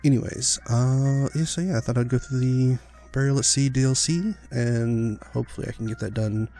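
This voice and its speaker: A man hosting a casual gameplay video, talking in a rambling, relaxed way with lots of fillers while getting over an illness. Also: medium pitch, moderate pace, soft background music. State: recovering from illness, sniffly, clearing his throat. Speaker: gaming channel host. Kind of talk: casual let's-play commentary.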